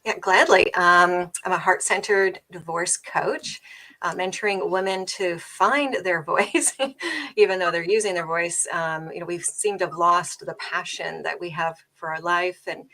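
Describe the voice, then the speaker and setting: A woman's voice: lowish voice, steady tone, a little slow, pushed and bright. A woman divorce coach on a video call, speaking earnestly and a bit rambling as an author guest.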